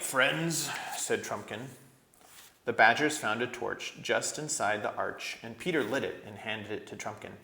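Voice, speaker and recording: A man reading a children's book aloud as an animated storyteller. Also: lowish voice, gravelly. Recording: echoey room.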